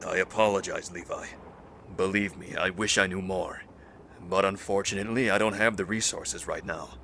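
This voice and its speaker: A man playing a calm, learned, considerate survivor in an audio drama, speaking formally and carefully. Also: sits low, normal speed, a little hiss or hum. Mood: gentle, concerned.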